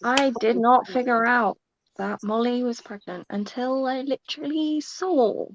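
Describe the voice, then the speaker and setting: A woman speaking as a guest in a casual book discussion, with halting, tentative phrasing. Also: on the high side, noisy background. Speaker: live show guest. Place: indoors, livestream video call. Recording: dull, band-limited call audio.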